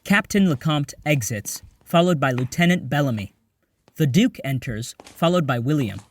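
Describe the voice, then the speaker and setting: A man's voice: a little high, expressive, moderate pace, dry recording, far from the mic. A man reading scripted stage directions as the narrator of an audio drama.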